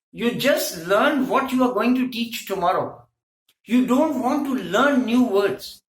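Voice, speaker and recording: A woman's voice: on the high side, echoey room, rough recording. A woman with a Pakistani accent, a native Hindko speaker, giving a teacher training lecture in an emphatic, scolding way full of rhetorical questions.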